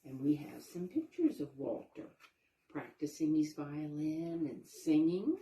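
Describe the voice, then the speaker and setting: A woman reading a children's picture book aloud, pausing to explain things and ask questions for young listeners. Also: deep voice, animated, mellow. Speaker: storybook reader, teacher-like narrator. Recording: roomy, echoey sound.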